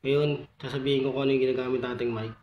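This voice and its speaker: Flat-toned Filipino man, echoey noisy recording. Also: medium pitch, quick pace, muffled recording. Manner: repeatedly addresses viewers as buddies, informal. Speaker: vlogger reviewing motorcycle gear. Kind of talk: casual vlog product walkthrough. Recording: echoey room with clear background noise.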